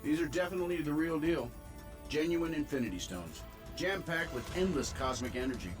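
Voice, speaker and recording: An actor playing a confident, wisecracking superhero inventor in a video game, with a glib, wry delivery. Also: male voice, on the high side, lively intonation, music in the background, close to an everyday voice. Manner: glib, wry, quick-witted. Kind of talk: video game cutscene acting.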